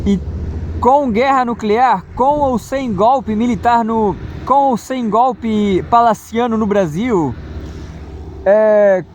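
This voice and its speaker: High-voiced hesitant man. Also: slight background noise. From Brazil. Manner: hesitant, many fillers and restarts. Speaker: political commentator. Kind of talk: rambling solo monologue.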